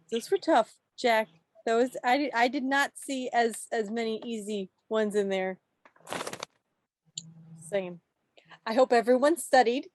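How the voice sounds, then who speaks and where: A woman's voice: fairly high pitch, darkish tone, a little hiss or hum. A woman hosting a quiz at an online club meeting, chatting casually over a video call.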